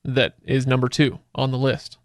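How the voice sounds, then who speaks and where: A man's voice: mid-range voice, completely flat delivery, moderate pace, dry recording, clean studio sound. A man hosting a casual film chat podcast, talking conversationally and putting questions to his co-hosts. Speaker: podcast host.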